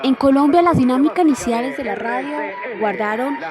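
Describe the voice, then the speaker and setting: A woman's voice: high voice, a little fast. A woman reading a scripted documentary narration in a formal manner. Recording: loud music behind the voice. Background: music.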